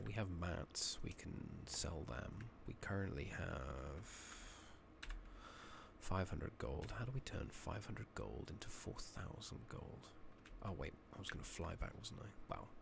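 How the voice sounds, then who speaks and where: Deep, gravelly soft-spoken man. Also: sing-song, slow pace. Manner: muttering, thinking out loud. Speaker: game streamer narrating a playthrough. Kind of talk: soft-spoken, partly whispered ASMR gaming commentary. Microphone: close makeshift microphone. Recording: noisy makeshift mic with clicking.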